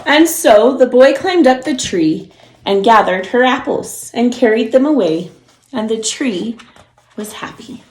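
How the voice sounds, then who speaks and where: A woman's voice: a little high, expressive, unhurried, a little mellow, reverberant, rough recording. A woman preschool teacher reading a storybook aloud to young children, encouraging and explaining things simply.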